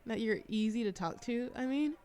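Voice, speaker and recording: A woman's voice: on the high side, moderate pace, a little mellow, lightly acted. A woman playing a shy, socially anxious young woman in a café audio roleplay, chatting in a hesitant, self-deprecating ramble. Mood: shy, chatty. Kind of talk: roleplay ASMR audio drama, conversational monologue.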